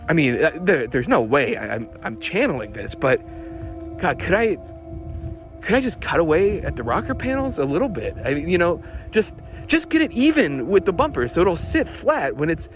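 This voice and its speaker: A man acts out an unravelling car builder recording his late-night confessions. He talks in halting, stammering bursts, fixated and obsessive, as if in a private audio diary. Music runs under the voice. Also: on the high side, sing-song. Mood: shaky pride, then desperate, pleading.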